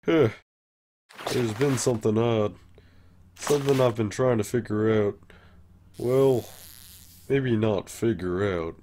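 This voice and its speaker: Man voicing a slow-talking, laid-back skeleton joker. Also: on the low side, mellow, a little hiss or hum. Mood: pensive. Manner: casual, drawling, wry. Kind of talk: animated comic dub.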